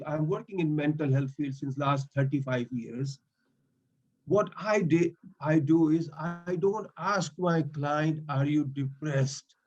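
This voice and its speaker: A man's voice: fairly high pitch, darkish tone, dull audio. A man in his fifties whose first language is Swahili, speaking earnestly in non-native English as a mental health link worker in an online meeting. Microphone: video call.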